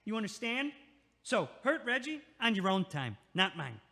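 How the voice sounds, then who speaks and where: Man playing a loud, high-voiced pushy New York neighbor. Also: sing-song, moderate pace, creaky, full character voice. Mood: annoyed. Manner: blunt, sarcastic, street-talking. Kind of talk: audio-play acting. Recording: echoey, long hallway-like reverb.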